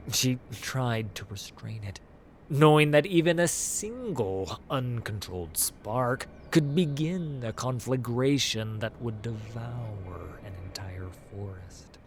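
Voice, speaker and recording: A man narrating a fantasy story in a dramatic, deliberate, intense scripted style. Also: on the low side. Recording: clear noise and ambience behind. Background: ambience and music.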